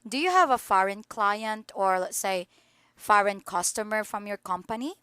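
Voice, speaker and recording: A woman's voice: medium pitch, lively intonation, moderate pace, bright, forceful tone, clean tone, no echo, studio quality. A woman teaching English in an online lesson, asking polite questions patiently over a video call.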